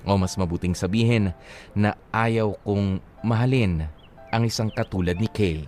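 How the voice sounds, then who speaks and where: A man's voice: lowish voice, a little slow, a little mellow, soft background music. A Filipino man co-hosting a radio love-story show, talking and reading casually. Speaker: radio DJ co-host.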